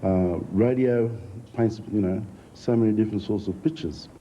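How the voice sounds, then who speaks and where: A middle-aged Australian man talking reflectively in an interview, in a measured, halting way. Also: lowish voice, dark tone. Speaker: theatre founder, former teacher. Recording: muffled, faintly noisy recording.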